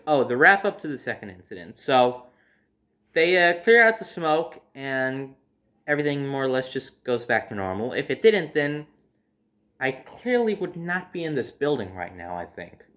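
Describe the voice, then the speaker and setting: A man with a mid-pitched voice tells a personal story in a casual, chatty way. He hosts the telling himself and animates it with acted-out reactions, keeping the wording informal. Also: very animated, normal speed, a bit bright. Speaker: storyteller hosting own video series.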